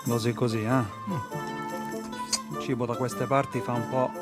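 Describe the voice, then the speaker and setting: Italian clergyman's companion, male actor: game cutscene, familiar and chatty, medium pitch, very loud music behind. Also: level tone, moderate pace, lightly acted. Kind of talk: video game cutscene acting.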